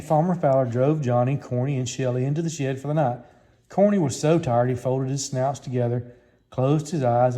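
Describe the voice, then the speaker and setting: A man's voice: mid-range voice, mellow. A man reading a children's story aloud as a scripted narration. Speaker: storybook reader.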